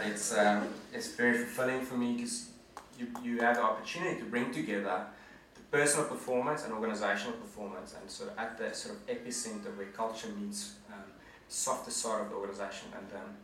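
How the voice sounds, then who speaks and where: A man's voice: on the low side, a bit bright, a little hiss or hum. A man in his forties gives an earnest, conversational presentation to an audience as a guest speaker. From South Africa. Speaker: guest speaker, management consultant. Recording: echoey hall from far off.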